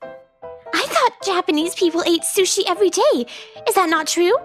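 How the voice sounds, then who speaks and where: A woman's voice: extremely high pitch, animated, moderate pace. A woman voicing a strict but naive and earnest young teacher in a manga story dub. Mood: naive, curious. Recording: background music under the voice.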